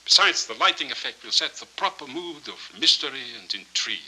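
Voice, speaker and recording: An actor in a 1940s film noir playing a cynical, fallen small-time swindler with formal, theatrical, silkily courteous speech. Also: male voice, medium pitch, expressive, a little fast, sharp tone, rough recording. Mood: silky, wry. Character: florid, cynical small-time chiseler, fallen grand scoundrel. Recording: hissy 1940s film soundtrack.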